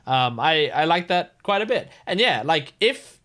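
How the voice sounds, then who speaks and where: A man's voice: fairly high pitch, very animated, a little slow, pushed and bright. A man hosting a reaction video, casually thinking aloud in loose, rambling commentary.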